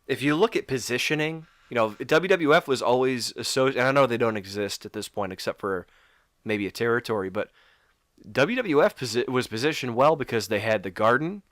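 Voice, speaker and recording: A man's voice: mid-range voice, expressive, fairly quick, fairly bright tone, no room sound, clean studio sound. A man co-hosting a casual podcast, coming down with a cold and sounding sniffly, rambling and correcting himself as he goes. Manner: rambling, self-correcting, stops to apologize. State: getting sick, sniffly. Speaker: podcast co-host. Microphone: podcast microphone.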